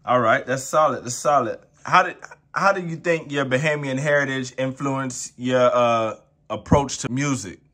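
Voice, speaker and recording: A man's voice: mid-range voice, moderate pace, sharp tone. A young man hosting a casual interview, easygoing and slangy, calling his guest "man". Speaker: interview host.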